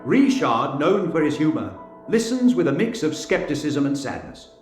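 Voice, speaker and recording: An earnest opera manager, played by a man, tells his tale formally in a high, very animated voice. It is theatrical audio drama narration in an echoing space, with faint music. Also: normal speed. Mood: intrigued, storytelling. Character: earnest, literary new opera manager recounting events. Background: faint guitar music.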